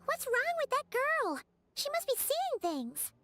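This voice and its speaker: Game fairy companion: childlike, squeaky, sky-high pitch, chirpy and blunt, faint music underneath. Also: female voice, big pitch swings, a little slow, bright, forceful tone, heavily acted. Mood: puzzled. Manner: speaks of herself in the third person, blunt. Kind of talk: anime-style video game voice acting.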